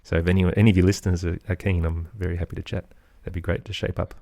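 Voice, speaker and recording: A man with an Australian accent, talking as an interview guest in an agreeable, conversational way with softened phrasing. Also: sits low, level tone, very fast, vocal fry, no echo. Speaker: podcast guest, musician and composer.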